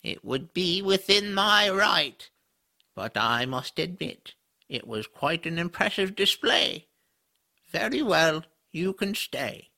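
A man voicing a pompous, droll magical creature in an audio drama, speaking in a formal, drawn-out way. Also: high-pitched, big pitch swings, vocal fry, sharp tone, exaggerated voice. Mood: haughty.

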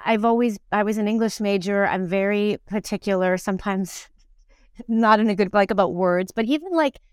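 A woman of fifty speaks in a clear, smooth voice with a medium pitch. She talks conversationally as an interview guest, animated and emphatic, and the sound is close and dry. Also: normal speed, no echo. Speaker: interview guest, stage actor in musicals and plays.

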